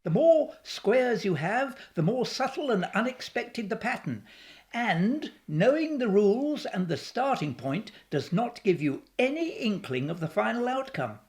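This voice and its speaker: Measured, expressive female scientist. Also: a little high. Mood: lecturing. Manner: measured, lecturing. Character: philosophical, resolute female AI scientist, a doctor. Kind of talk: radio play acting.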